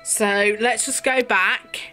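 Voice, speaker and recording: A young adult woman demonstrating a toy off the cuff, explaining as she goes in a halting way. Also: fairly high pitch, steady tone, pushed and bright, faint music behind, rough recording. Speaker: toy reviewer.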